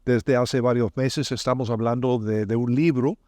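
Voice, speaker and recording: A man hosting a podcast, asking his guest questions and explaining the topic in a measured, friendly way. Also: medium pitch, steady tone, a little mellow. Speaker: podcast host.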